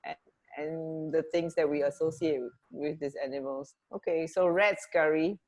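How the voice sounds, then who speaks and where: A woman's voice: low voice, steady tone, moderate pace, a crowd in the background. A woman co-hosting a radio show in casual chat, feeling her way through sentences with a hesitant, searching delivery. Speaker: radio co-host. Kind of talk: casual chat about fun facts.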